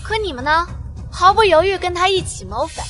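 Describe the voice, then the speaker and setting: An outspoken young maid in a costume drama, full of scorn. Her very high voice swings up and down. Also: lively intonation, fairly quick, fairly bright tone. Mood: scornful.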